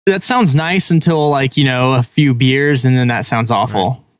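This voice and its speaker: A man's voice: medium pitch, normal speed, no echo. A man on a podcast, casually telling an upbeat story. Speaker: podcast guest.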